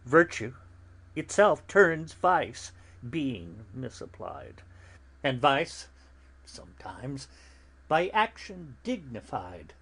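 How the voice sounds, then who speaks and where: Man playing a slow, theatrical, philosophical old friar. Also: mid-range voice, sing-song, a bit bright, breathy edge, full character voice. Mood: musing, solemn. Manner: measured, deliberate, with dramatic pauses and sudden swells. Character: elderly, philosophical friar, fatherly and gently chiding. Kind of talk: classical verse drama, theatrical dramatic reading.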